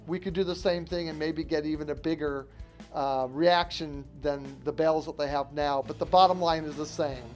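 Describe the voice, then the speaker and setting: A man's voice: on the high side, fairly even intonation, moderate pace. A man in his sixties, a veteran school staff member, talking informally and reminiscing in an interview. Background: guitar music.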